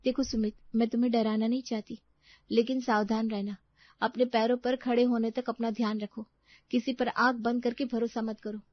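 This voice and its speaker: Woman voicing a firm, high-voiced young woman. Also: little pitch movement, normal speed, no echo, muffled recording, natural voice. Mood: cautioning. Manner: emphatic, admonishing. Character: wary, firm young woman student scolding her naive friend.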